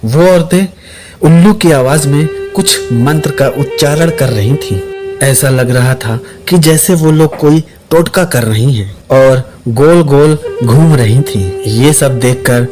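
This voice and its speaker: A man's voice: medium pitch, takes it slowly. A man narrating a scripted suspense story as the storyteller. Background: background music.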